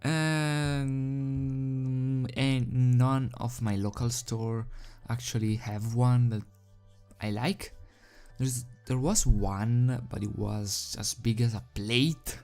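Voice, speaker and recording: A video host and fan fiction reader with a hesitant, halting, self-deprecating manner, speaking into a desk microphone. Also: medium pitch, steady tone, a little mellow. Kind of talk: casual rambling chat, then storybook narration. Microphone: desk microphone without pop filter. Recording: background music under the voice. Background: music.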